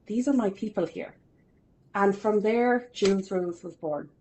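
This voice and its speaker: A woman in her forties chats with an upbeat, lively manner. She tells stories with self-deprecating humour as a podcast guest, at a medium pitch. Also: a little slow, slight background noise, low-quality recording. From Ireland. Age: 40s. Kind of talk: casual interview storytelling.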